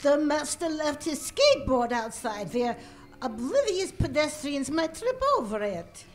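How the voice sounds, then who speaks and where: A woman's voice: high-pitched, very animated, measured pace, soft background music. Woman playing a servile old lab assistant. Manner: formal, servile.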